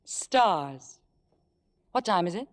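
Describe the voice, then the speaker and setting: A woman's voice: fairly low pitch, lively intonation, very slow. A woman acting a coolly manipulative, ambitious young actress in a vintage radio drama. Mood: dreamy, confident. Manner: silky, calculating, theatrical. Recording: old radio broadcast with background music.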